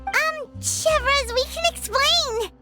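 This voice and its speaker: Super high squeaky little fairy girl, excitable, bouncy, game music loud behind. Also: slow pace. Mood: flustered. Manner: squeaky, eager, gushing. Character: tiny childlike fairy companion, cheerful, excitable, third-person talker. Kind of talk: scripted video game voice acting. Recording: prominent music under the voice.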